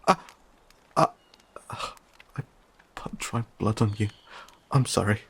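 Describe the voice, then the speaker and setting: A performer voicing a shaken, emotional fantasy companion in an audio roleplay, speaking haltingly with stammers and whispers. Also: medium pitch, a bit bright, breathy edge, exaggerated voice. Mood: flustered, apologetic. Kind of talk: dramatic audio roleplay addressed to listener.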